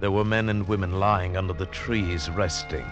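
A man's voice: deep voice, fairly even intonation, natural voice. Man acting intense mountaineer narrating old radio adventure. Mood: hushed wonder. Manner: dramatic, deliberate phrasing. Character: male mountaineer recounting his ordeal, intense and awestruck. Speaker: narrator and lead actor. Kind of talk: 1940s-50s radio drama narration. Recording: vintage radio recording with music behind.

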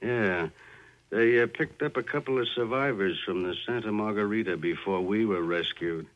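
An actor playing a sly, insinuating shipwreck survivor in a 1940s radio drama. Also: male voice, on the low side, moderate pace, lightly acted. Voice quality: probing, insinuating manner. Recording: dull old radio broadcast recording.

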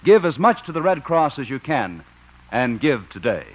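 A man's voice: mid-range voice, very animated, moderate pace, a little hiss or hum. An American man announcing on 1950s network radio in a formal, polished, emphatic scripted style. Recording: dull vintage radio broadcast recording.

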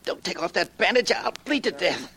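A young 1940s radio hoodlum, jumpy and uneducated. His pitch swings widely and his talk is full of street slang and double negatives. The old recording carries faint noise. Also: lively intonation, moderate pace, creaky, bright, forceful tone, full character voice. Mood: panicked, aggressive. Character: jumpy, uneducated young male crook, loyal gang sidekick.

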